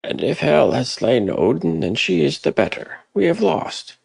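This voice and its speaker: An anxious Norse guardsman, acted by a man in an audio drama. His voice is pushed up high. He sounds high-strung and pleading, ready to give up, in a dry recording. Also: big pitch swings, normal speed, vocal fry, darkish tone, husky, no echo, heavily acted. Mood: panicked.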